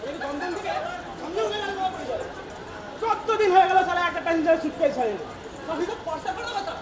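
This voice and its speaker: An actor playing a cocky young train robber's ghost in a comic stage play, brash, slangy and threatening. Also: male voice, very high-pitched, animated, fast talker, a crowd in the background. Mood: menacing. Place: theatre stage at a drama festival. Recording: echoey stage recording, audience noise.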